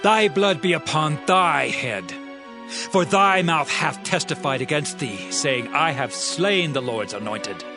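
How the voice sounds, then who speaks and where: King David as a grieving warrior-king, with a fairly high voice and a solemn, lamenting delivery. Gentle music sits faintly beneath his words. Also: lively intonation, unhurried. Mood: stern. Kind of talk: dramatized scripture reading. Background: faint background music.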